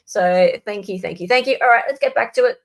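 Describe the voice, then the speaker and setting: A woman's voice: medium pitch, fast talker, gravelly. Female livestream host, mid-range voice, casual and conversational delivery.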